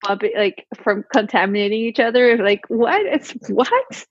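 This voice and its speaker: A woman in her thirties chatting casually and telling stories in an animated way, quoting exchanges as she goes. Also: fairly high pitch, measured pace, husky, no echo. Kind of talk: casual chat. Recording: slightly muffled, lo-fi audio.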